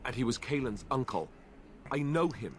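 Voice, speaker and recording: A man's voice: mid-range voice, big pitch swings, moderate pace, slight background noise, natural voice. A young man acting an earnest, anxious warrior in a fantasy game, hesitant and naive in his delivery. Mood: earnest, indignant. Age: young adult.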